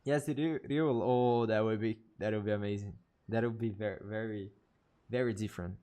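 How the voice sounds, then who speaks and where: A man's voice: lowish voice, steady tone, normal speed, mellow. A man with a Brazilian accent, a native Portuguese speaker, chatting casually as a game streamer and often repeating words. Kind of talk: casual live stream chat. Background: game music.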